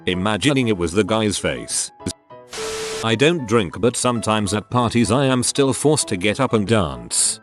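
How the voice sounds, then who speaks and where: Lively low-voiced male story narrator. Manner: reads posts aloud in one even delivery, even the punctuation and abbreviations. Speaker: narrator of online forum posts. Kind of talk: scripted narration.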